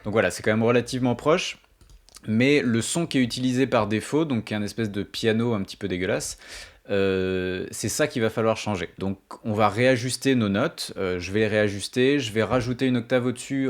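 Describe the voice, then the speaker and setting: A man's voice: fairly low pitch, brisk. A man hosting a music production tutorial, explaining things step by step in an informal, colloquial way.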